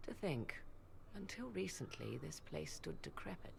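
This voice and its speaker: An actress playing a proud, enigmatic sorceress mother in a fantasy game, speaking formal, archaic English with a measured, haughty manner. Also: deep voice, big pitch swings, vocal fry, slight background noise, exaggerated voice. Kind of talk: dramatic fantasy game dialogue.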